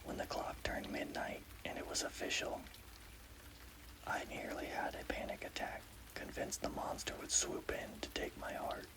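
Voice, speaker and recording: A man narrating a scary story as ASMR in a breathy, unvoiced whisper. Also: moderate pace.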